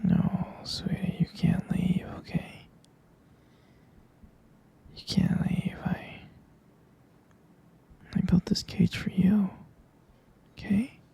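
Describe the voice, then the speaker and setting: A man whispers in a dark, very gravelly voice, playing an obsessive captor in an ASMR roleplay. His delivery is slow, breathy and halting, tender yet possessive, as he coaxes and repeats himself. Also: high-pitched, little pitch movement. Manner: slow, halting, repetitive, coaxing.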